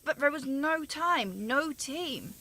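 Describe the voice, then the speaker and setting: A woman's voice: sits high, lively intonation, slow, sharp tone, exaggerated voice. A woman playing a guilt-ridden scientist who blames herself, talking with anguish and urgency. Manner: anguished, self-blaming, urgent pleading. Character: guilt-ridden female scientist, younger sister. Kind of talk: amateur radio drama acting.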